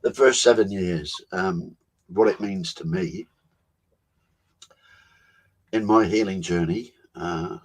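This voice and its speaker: A man, co-hosting a talk show on a video call and musing over his life in an unhurried way, with soft laughs and pauses. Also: low voice. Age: 70s. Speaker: co-host. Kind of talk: reflective personal storytelling.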